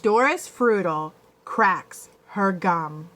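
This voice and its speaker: A woman teacher reads a picture book to children at a slow pace. Her voice sits at an average pitch. She steps out of the story to explain things and checks with short questions. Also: lively intonation, fairly bright tone, a little hiss or hum. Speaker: elementary school teacher. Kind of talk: picture-book read-aloud with teaching asides.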